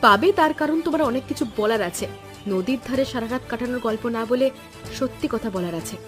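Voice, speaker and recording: A woman's voice: on the high side, animated, brisk, a bit bright, soft background music. A woman voicing an anguished young wife in a cartoon drama, earnest and pleading, with emotion in her delivery. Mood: defiant. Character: anguished young wife, quiet by nature, growing resolute. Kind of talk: Bengali cartoon melodrama voice acting.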